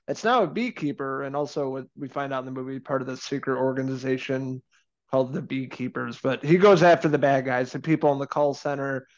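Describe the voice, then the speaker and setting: A man in his thirties co-hosting a casual movie review over a video call from home, relaxed and chatty. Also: medium pitch, a little fast, dull audio. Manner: relaxed, chatty, laughs easily. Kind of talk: casual film review chat. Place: home room indoors. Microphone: video call webcam.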